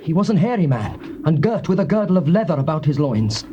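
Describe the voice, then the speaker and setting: An earnest royal messenger. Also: male voice, fairly high pitch, sing-song, a little fast, warm tone. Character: male royal messenger reporting. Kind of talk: dramatized scripture reading. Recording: dull tape with faint hiss.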